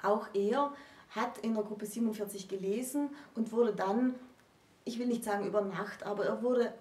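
Woman, 30s, co-host lecturing informally; relaxed dark tone, deliberate pauses, mic some way off. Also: medium pitch. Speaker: co-host explaining literature. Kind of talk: unscripted explanatory lecture. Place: small book-lined room indoors. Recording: roomy, distant microphone.

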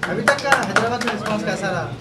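A man's voice: sits high, quick pace, over music. A man working as a news reporter, asking short, direct questions.

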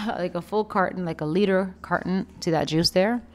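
A young woman, giving a chatty, playful and expressive casual vlog voice-over. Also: fairly low pitch, moderate pace. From Canada. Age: young adult. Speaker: vlogger, food reviewer. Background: background music.

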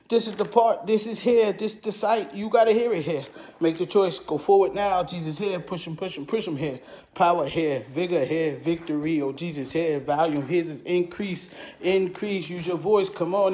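Guy with a high voice chanting prayers, repeating short lines over and over. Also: a little mellow. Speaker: preacher. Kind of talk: rhythmic prayer and exhortation.

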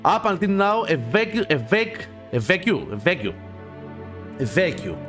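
High-voiced Greek-accented man doing a let's play. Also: big pitch swings. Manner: halting reading, often repeating phrases, swearing in asides. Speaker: gaming channel host. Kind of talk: casual let's play, reading game text aloud with asides. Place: small dim home gaming room. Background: game music.

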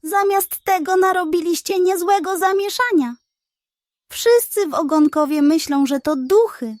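A man's voice: very high voice, unhurried, darkish tone, no echo, studio quality, voice pushed up. A woman voicing a stern but kind-hearted male pig detective in a children's bedtime story. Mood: stern scolding. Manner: stern, huffing.

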